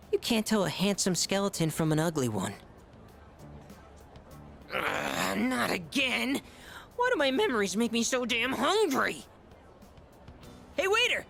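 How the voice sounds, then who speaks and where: Pompous, gluttonous schoolboy with wild pitch swings. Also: male voice, vocal fry, fairly bright tone, heavily acted. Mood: gloomy, then showy. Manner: bombastic, dramatic swings between squeals and low asides. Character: gluttonous, pompous, theatrical male high-school student, self-styled gourmet. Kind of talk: video game voice acting.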